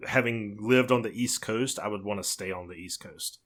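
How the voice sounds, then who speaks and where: A man's voice: lowish voice, moderate pace. A man with a Southern US accent co-hosting a podcast, talking casually and often hesitating and repeating words. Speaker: podcast co-host. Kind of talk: casual chat.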